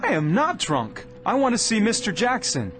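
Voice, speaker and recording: An actor playing a bewildered, agitated young engineer in a radio drama, speaking haltingly but insistently. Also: male voice, high voice, wildly swooping pitch, over music. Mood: agitated, indignant. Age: young adult.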